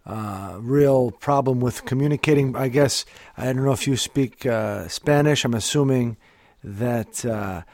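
A male interviewer asking questions in a casual chat, halting and fumbling as he phrases them. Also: mid-range voice, darkish tone.

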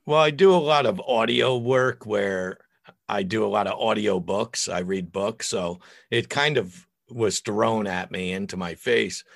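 A middle-aged American man with a deep voice, chatting casually and bluntly on a podcast as an opinionated, foul-mouthed guest. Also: unhurried, vocal fry, dry recording, clean studio sound. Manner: opinionated, blunt, crude joking. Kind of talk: casual, profane podcast chat.

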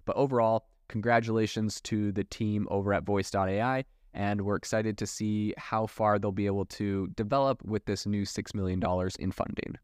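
A man's voice: lowish voice, steady tone, moderate pace, few pauses, darkish tone, clear, resonant tone, dry recording, studio quality. A man hosting a solo tech podcast, giving informal news commentary peppered with "you know" and "like". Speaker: podcast host.

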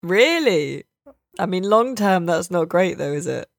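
A woman's voice: fairly low pitch, animated, measured pace, gravelly, no echo, studio quality. A British woman hosting a podcast in a casual, chatty way, with a jokey, teasing manner. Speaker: podcast host and games journalist.

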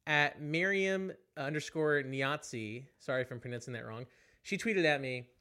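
A man's voice: a little high, moderate pace, sharp tone, smooth. A young man hosting a podcast, chatting casually in a rambling, self-deprecating way with lots of fillers. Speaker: podcast host.

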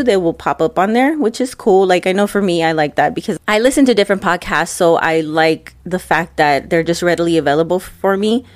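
A woman's voice: medium pitch, no echo. A young woman hosting her own podcast in loose, rambling chat, stuffed up from allergies, her breathing close on the mic. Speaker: podcast host. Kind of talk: casual solo podcast chat. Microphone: close mic, breathing audible.

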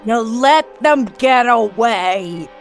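A man's voice: very high-pitched, expressive, extremely slow, vocal fry, faint music behind, heavily acted. A man playing an imperious, scheming villainous mother in an old-time radio drama, grand and commanding.

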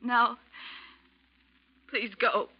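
A woman's voice: a little high, little pitch movement, very slow, husky, heavily acted. A woman playing a wounded, fragile wife who blames herself, in an emotional 1940s radio melodrama style. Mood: resigned. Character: distraught, wounded young wife, fragile and self-blaming. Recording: dull vintage radio recording.

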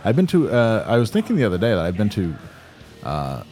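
Loose, profane man with lively pitch. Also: a little fast. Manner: loose, profane, mumbling asides. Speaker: podcast co-host. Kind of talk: casual banter. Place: apartment room.

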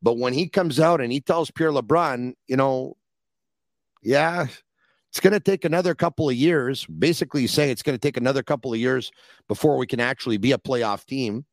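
A man hosting a sports call-in podcast, chatting in a rambling, animated way. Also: mid-range voice, a little fast, no room sound. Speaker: podcast host. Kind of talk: casual call-in show chat.

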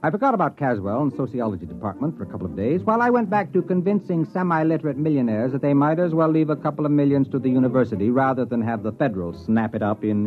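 Man playing a wry, animated university administrator, old radio. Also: medium pitch, close to an everyday voice. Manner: wry, sardonic. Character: wry, worldly male university administrator telling his story. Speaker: narrator and character in radio drama. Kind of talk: mid-century radio drama acting, wry narration. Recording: thin phone-like vintage radio recording, faint music.

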